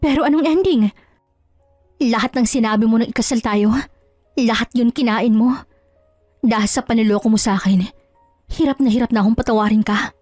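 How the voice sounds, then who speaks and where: Over background music comes a high, closely recorded voice: a betrayed wife played by a woman in an audio drama. She is hurt and proud, scolding with scornful questions and resolute in her indignation. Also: a little fast, pauses often, darkish tone, dry recording, natural voice. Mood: hurt, reproachful. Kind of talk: Tagalog audio drama acting.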